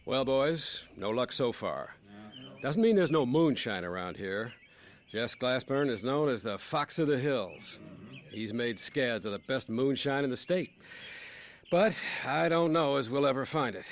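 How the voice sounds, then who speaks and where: An actor playing a formal, officious federal revenue agent with a measured manner in an old-time radio drama. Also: male voice, medium pitch, expressive, close to an everyday voice. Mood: dry, doubtful.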